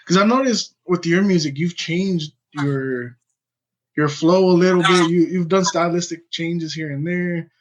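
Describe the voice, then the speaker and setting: A man's voice: fairly high pitch, unhurried, lo-fi sound. A man co-hosting a podcast, asking laid-back questions full of fillers. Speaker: podcast co-host. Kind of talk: casual podcast interview.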